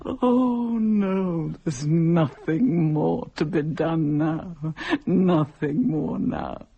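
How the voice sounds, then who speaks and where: A desperate young mother from centuries past in a radio drama. Her voice is husky and worn. She recounts her hardship formally and haltingly, heavy with anguish and despair. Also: sits low, phone-quality sound, full character voice. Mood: despairing, weary. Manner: anguished, halting, formal old-fashioned storytelling. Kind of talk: dramatic radio play monologue.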